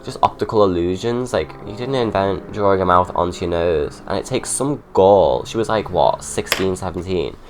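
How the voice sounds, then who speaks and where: Low-voiced man with a head cold. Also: moderate pace, hardly any pauses, noisy background. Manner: chatty, sarcastic, ranting asides. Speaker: drag makeup vlogger. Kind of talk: casual chatty makeup tutorial.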